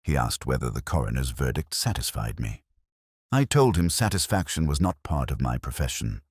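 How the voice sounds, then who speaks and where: A man playing a melancholy, world-weary English police inspector, narrating his own story in a measured, literary, reflective way. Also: a bass voice, very animated, husky, no echo, studio quality, heavily acted. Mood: quietly sceptical. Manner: measured, brooding, literary delivery. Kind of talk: scripted first-person narration, literary detective drama.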